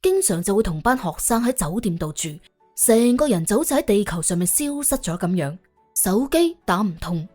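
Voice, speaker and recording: A woman tells a scripted story as an audiobook narrator. Her husky voice moves widely in pitch, with a wry, colloquial and expressive delivery. Soft music runs faintly underneath.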